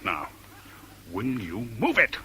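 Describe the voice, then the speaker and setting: A man's voice: lowish voice, huge pitch swings, pushed and bright, full character voice. Impatient, commanding man on the run. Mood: brisk, planning. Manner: brisk, insistent questioning. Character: tense, commanding male escape organiser, clipped and impatient. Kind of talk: 1970s radio thriller acting. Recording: noisy old radio drama recording.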